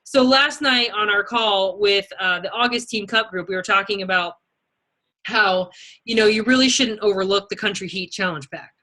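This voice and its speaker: Chatty lady giving her sales team a casual pep talk, bright punchy voice, lots of you know and okay. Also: mid-range voice, moderate pace. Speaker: team leader and fitness sales coach.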